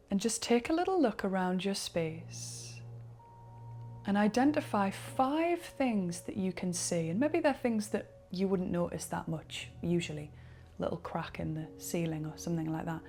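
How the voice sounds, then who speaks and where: A woman leading a guided relaxation, speaking slowly and softly, sometimes dropping into a whisper. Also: lowish voice, expressive, soft background music. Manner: slow, soft-spoken, partly whispered. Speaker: meditation guide.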